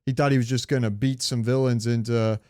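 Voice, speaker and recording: A man's voice: medium pitch, fairly even intonation, brisk, mellow, clear, resonant tone, no echo, studio quality. A man co-hosting a podcast, chatting casually with informal, opinionated, sweary talk. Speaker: podcast co-host. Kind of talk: casual chat about comic book movies.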